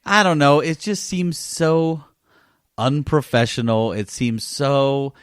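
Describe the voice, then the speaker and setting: A man's voice: medium pitch, slow pace, clear, resonant tone, dry recording, clean studio sound. A man co-hosting a gaming podcast, chatting casually in an easygoing, informal and playful way. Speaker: podcast co-host.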